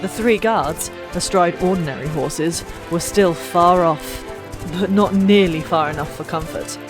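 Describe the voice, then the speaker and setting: A woman's voice: fairly low pitch, measured pace. A woman narrating a fantasy audiobook in a dramatic, theatrical storytelling style. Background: music.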